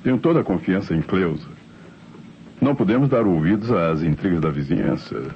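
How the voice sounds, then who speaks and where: A man's voice: sits low, lively intonation, brisk. An actor playing a defensive married man giving hesitant, emphatic testimony in old radio drama style. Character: defensive married man, neighbour who saw a flying saucer. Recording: muffled, faintly noisy old radio recording.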